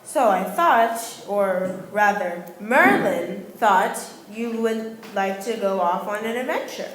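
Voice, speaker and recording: A woman's voice: medium pitch, expressive, slow pace, slight background noise. A woman playing a courteous, regal king in a radio play, speaking in a formal, archaic manner. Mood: genial, eager. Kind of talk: stage-like amateur radio play acting. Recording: roomy live room, faint music.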